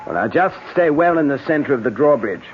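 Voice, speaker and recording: An actor playing a genial, courteous English gentleman with a British accent in a radio drama. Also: a little high, lively intonation, brisk, lightly acted. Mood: light, reassuring. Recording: muffled old radio broadcast with noise.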